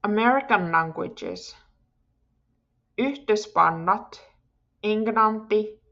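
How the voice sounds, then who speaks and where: A woman giving a language lesson at an extremely slow, deliberate pace, saying each word carefully and repeating it for drilling. Her recording sounds a little dull and lo-fi. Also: mid-range voice, creaky, sharp tone. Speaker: Finnish language teacher.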